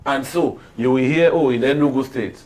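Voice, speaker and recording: A man with a Nigerian accent giving political commentary on a TV panel in measured, oratorical phrasing. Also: medium pitch, darkish tone, low-quality recording.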